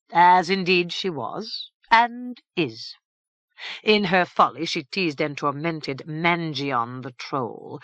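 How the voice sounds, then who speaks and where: A woman voicing a stately, grave fairy king who speaks in a measured, formal way. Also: lowish voice, a bit bright, no echo.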